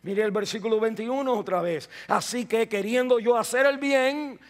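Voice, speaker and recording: High-voiced pastor preaching with rising bursts. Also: male voice, normal speed. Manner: preaching with rising bursts of emphasis, frequent repetitions and restarts. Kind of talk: sermon. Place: church sanctuary.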